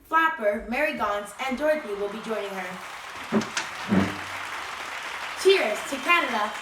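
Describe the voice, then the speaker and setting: Woman acting as chirpy 1920s radio presenter, higher pitched, theatrical, folksy, echoey room, faint noise. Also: animated, unhurried, crowd noise. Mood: excited. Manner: perky, theatrical, old-time slang. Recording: roomy, distant mic, faint noise.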